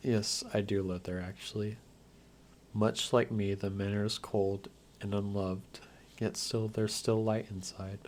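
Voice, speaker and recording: A man's voice: lowish voice, little pitch movement, takes it slowly, a little hiss or hum. A man playing a lonely, guarded undead vampire, speaking straight to the listener in a wry, theatrical ASMR roleplay.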